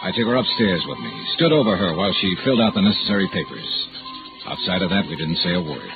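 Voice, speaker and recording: A man's voice: low voice, big pitch swings, fast, vocal fry, phone-quality sound, heavily acted. An American man playing a tough, world-weary insurance investigator in 1950s radio noir style, terse and hardboiled. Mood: dry, world-weary. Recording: old band-limited radio recording, loud music.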